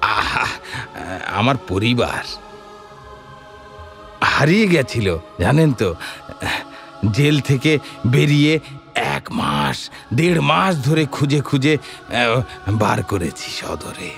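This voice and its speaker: A man's voice: mid-range voice, big pitch swings, slow, vocal fry, long pauses, a bit bright, soft background music, heavily acted. An actor playing a rustic male bandit, telling bitter tales in an emphatic, theatrical way, full of rhetorical questions, for an audio drama. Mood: weary, gruff. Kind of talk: dramatised audio story dialogue.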